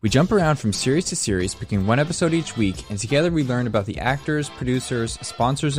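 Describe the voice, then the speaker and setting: A man hosting a podcast, reading scripted narration. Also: fairly low pitch. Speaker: podcast host.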